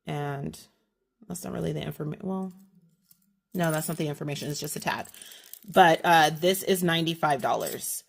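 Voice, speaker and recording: A woman with a slightly low voice talks through her purchases in a chatty, detailed way. She has a slight cold and sniffles now and then, and she often stops to correct herself. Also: moderate pace, vocal fry, pauses often, breathy edge. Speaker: haul vlogger. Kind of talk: casual product haul walkthrough.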